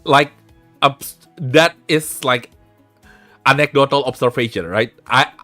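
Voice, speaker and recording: A man hosts a relaxed live stream and talks through relationship advice at an unhurried pace. He is blunt and swears freely, often restarting his sentences. His voice sits dry and close to the mic, with faint music playing underneath. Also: mid-range voice, dry recording. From Indonesia. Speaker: streamer host.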